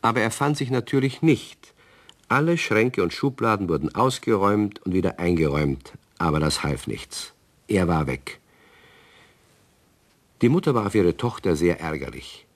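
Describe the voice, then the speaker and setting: A man's voice: low-pitched, expressive, moderate pace. A man playing a wry, fatherly narrator of a children's radio play. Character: wry, fatherly male storyteller. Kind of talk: scripted children's story narration.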